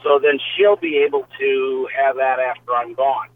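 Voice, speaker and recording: A man nearly seventy calling in to a radio show, asking a halting question with restarts. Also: mid-range voice, flat delivery, slight background noise. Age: 60s. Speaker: caller. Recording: phone-line caller audio.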